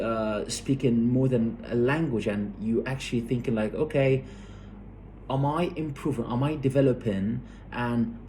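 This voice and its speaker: A man giving an explanatory academic lecture, halting and repeating words as he goes, often checking that listeners follow. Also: mid-range voice, mellow, slight background noise.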